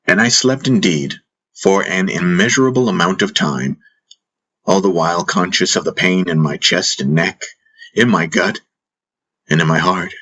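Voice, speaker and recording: A man's voice: sits low, normal speed, pushed and bright. A man narrating a fantasy audiobook in the first person, in a solemn, dramatic manner.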